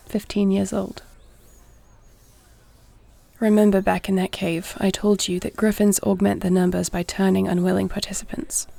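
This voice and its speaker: Grave, creaky-voiced warrior queen, acted by a woman. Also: medium pitch, warm tone, no room sound. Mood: somber, sad. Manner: measured, earnest, formal phrasing. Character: duty-bound warrior dragon queen, tender but grave wife. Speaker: voice actor. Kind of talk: intimate audio roleplay acting.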